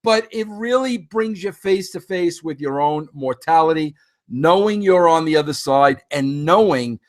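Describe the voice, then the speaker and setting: A fifty-something man hosts his own show, rambling through a casual monologue aimed right at his listeners. His voice sits high for a man, and he often stumbles, repeats himself and restarts before pushing on with lively energy. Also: unhurried. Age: 50s. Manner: rambling, animated, frequent restarts and fillers; addresses listeners directly. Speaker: podcast host.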